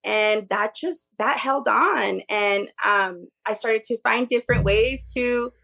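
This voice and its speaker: A woman with a fairly high, creaky voice speaks casually as an interview guest. Spanish is her first language, and she explains things in an informal, animated way. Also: slow pace, low-quality recording. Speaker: podcast guest, behavior therapy tutor.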